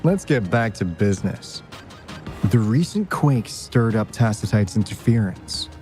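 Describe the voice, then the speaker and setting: An actor playing a suave guide from a secretive sci-fi organization in a video game, with measured, smooth explanations. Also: male voice, on the low side, very animated, mellow. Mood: calm, explanatory. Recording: game music faintly behind.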